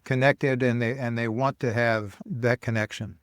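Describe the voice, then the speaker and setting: Smooth-voiced American podcast host. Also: male voice, mid-range voice, fairly even intonation, normal speed, no room sound, clean studio sound. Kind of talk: casual interview chat.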